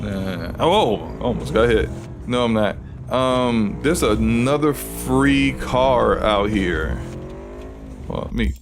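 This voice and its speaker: Deep-voiced man streaming a game. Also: takes it slowly, noisy background. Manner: rambling, relaxed, frequent restarts. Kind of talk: casual gaming livestream commentary.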